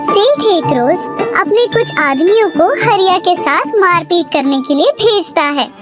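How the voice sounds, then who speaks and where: A woman's voice: very high voice, lively intonation, unhurried, over loud music. A woman narrating a cartoon story from a script.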